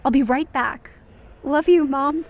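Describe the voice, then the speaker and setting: A woman's voice: high-pitched, unhurried, roomy sound, distant mic. A woman playing an emotional young woman who narrates her own story in an audio drama. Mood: relieved, happy. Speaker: first-person narrator and lead.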